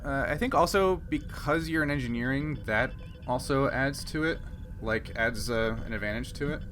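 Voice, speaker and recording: A man's voice: mid-range voice, moderate pace, a bit bright, faint music behind. A man acting as game master, casually narrating and ruling on a tabletop game. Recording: clear background noise.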